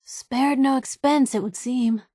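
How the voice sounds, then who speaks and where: A woman's voice: high-pitched, steady tone, unhurried, dark tone, dry recording, clean studio sound. An actress playing a sardonic, plucky young woman reporter in a video game, with wry, conversational quips. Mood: wry, musing. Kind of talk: video game companion voice acting.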